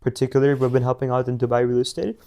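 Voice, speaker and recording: Give me a teenage man from India, rambling and flat-voiced, full of fillers. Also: medium pitch, rapid-fire. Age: teens. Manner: rambling, many fillers and restarts. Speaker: guest, young business founder. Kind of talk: casual interview answers.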